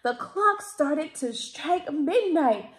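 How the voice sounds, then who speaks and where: A woman in her thirties reads a children's picture book aloud expressively, with deliberate, theatrical emphasis. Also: high voice, lively intonation. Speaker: library storytime reader. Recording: roomy indoor echo.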